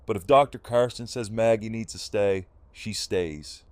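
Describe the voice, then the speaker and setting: Man playing a low-voiced weary survivor leader. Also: a little slow, a little mellow, dry recording, lightly acted. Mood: resolute, weary. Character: weary, resolute male leader of grieving survivors. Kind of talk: full-cast audio drama acting.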